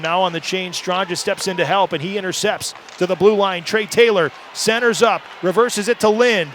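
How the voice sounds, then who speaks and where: Male radio hockey broadcaster: very high, bright, forceful delivery, excitable, over light live arena noise. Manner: excitable, builds from steady calls to shouts. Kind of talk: live ice hockey play-by-play commentary.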